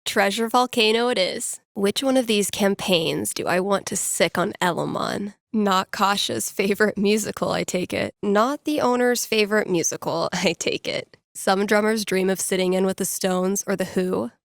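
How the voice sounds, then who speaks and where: A woman's voice: medium pitch, moderate pace, vocal fry, few pauses, dry recording, natural voice. A woman playing a young indie radio DJ and tabletop gamer in a video game, musing to herself with dry, self-deprecating sarcasm. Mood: wry, teasing. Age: young adult.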